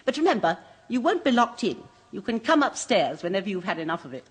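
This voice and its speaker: An actress playing a composed woman who runs a waxworks museum, speaking briskly and precisely, in a vintage radio drama. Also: mid-range voice, big pitch swings, breathy edge. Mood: cool. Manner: brisk, precise, faintly macabre relish. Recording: muffled old radio broadcast.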